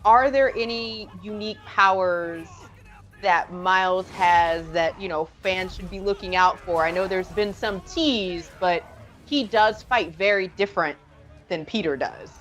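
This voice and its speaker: A woman's voice: mid-range voice, a little slow, a bit bright. Enthusiastic woman hosting live chat. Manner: enthusiastic, rambling questions. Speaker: host.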